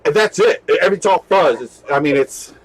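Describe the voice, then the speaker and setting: Rambling higher-voiced man of forty. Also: lively intonation, brisk, rough recording. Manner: rambling, repeats himself. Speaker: video host. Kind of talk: casual rambling chat.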